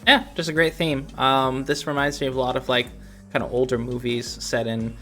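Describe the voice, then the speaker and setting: A man's voice: medium pitch, flat delivery, brisk, a bit bright, faint music behind. A man hosting a podcast, chatting casually about games and thinking aloud in a rambling way. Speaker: podcast host.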